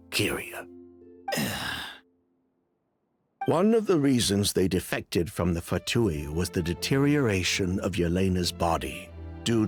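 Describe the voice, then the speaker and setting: An actor playing an evasive, self-justifying criminal in dramatic video game dialogue, with wry, sighing delivery. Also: male voice, low-pitched. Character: evasive, defensive criminal man confessing past wrongdoing.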